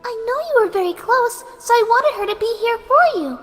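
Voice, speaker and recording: A woman voicing a sweet cartoon pegasus pony, gentle and slow, with a serene, fanatical menace. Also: very high voice, animated, pitched above the performer's own voice. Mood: tender, eerie. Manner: slow, gentle, lovingly eerie delivery. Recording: long reverb over background music.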